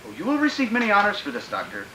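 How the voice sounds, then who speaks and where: An actor playing a megalomaniac, menacing political schemer in a radio drama, declaiming in a grandiose, theatrical way. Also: male voice, high voice, huge pitch swings, very fast, sharp tone, reverberant, a little hiss or hum, exaggerated voice, poor audio. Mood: smooth, scheming. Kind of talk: old-time radio drama acting.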